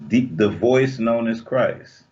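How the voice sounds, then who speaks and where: A man's voice: mid-range voice, slow pace, a little mellow. A man in his forties, a rapper guesting remotely, laid-back and slangy, with playful sayings. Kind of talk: casual interview chat. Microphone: video call. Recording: dull lo-fi video call audio.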